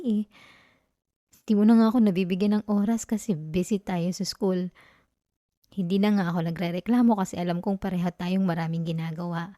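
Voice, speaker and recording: A woman's voice: medium pitch, dark tone, no room sound, clean studio sound, close to an everyday voice. Isang babaeng Pilipina ang gumaganap bilang batang girlfriend na estudyante sa ASMR roleplay, clingy, possessive at malambing na nanunukso at nakikiusap, may punto ng Pilipino. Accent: Filipino. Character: clingy, possessive young student girlfriend, sweetly manipulative. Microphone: close microphone, dry sound.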